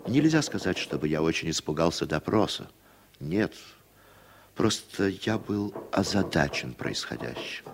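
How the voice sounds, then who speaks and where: An actor playing a troubled American writer and radio commentator who narrates his story with measured, expressive delivery in a radio drama. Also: low voice, gravelly, heavily acted. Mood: brooding, puzzled. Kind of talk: Soviet radio drama acting, dramatic first-person narration. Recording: 1960s Soviet radio recording with music.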